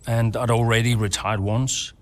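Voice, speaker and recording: A man's voice: mid-range voice, level tone, moderate pace, natural voice. An actor playing a retired racing driver and father, speaking candidly and hesitantly, as if interviewed in a game cutscene. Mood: regretful, candid.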